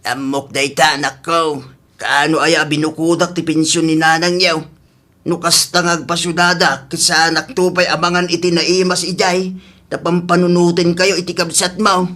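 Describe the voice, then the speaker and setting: A man's voice: fairly high pitch, flat delivery, moderate pace, sharp tone. A man playing a worried, grumbling older father in a radio drama, questioning in a fretful, plain-spoken way. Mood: defensive.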